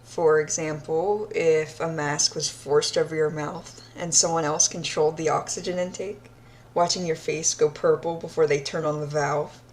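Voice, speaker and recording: A woman's voice: deep voice, steady tone, moderate pace, darkish tone, a little hiss or hum, close to an everyday voice. A woman playing a deranged, obsessive yandere captor in an audio roleplay diary, sadistic yet childlike, speaking in a dreamy, intimate manner and lingering on words. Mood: dreamy menace. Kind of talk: audio roleplay monologue, recorded diary confession.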